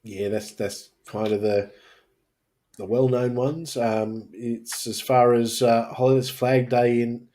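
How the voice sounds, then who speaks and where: A man with an average-pitched voice talks casually as a podcast co-host. His phrasing is hesitant and halting, as in an unscripted panel chat. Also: steady tone, mellow.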